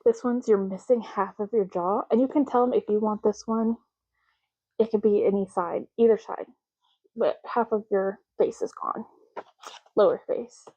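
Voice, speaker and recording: A woman speaking softly in an ASMR roleplay, hushed, unhurried and gently explanatory, close to the microphone. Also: medium pitch, no room sound, highs cut off. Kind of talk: soft-spoken ASMR roleplay.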